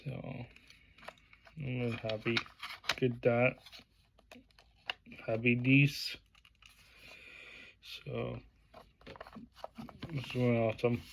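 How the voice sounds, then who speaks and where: A man talking casually through an unboxing vlog, halting with many fillers and restarts, praising what he shows. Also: medium pitch, gravelly, background noise. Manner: plain enthusiastic praise in a flat delivery. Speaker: vlogger.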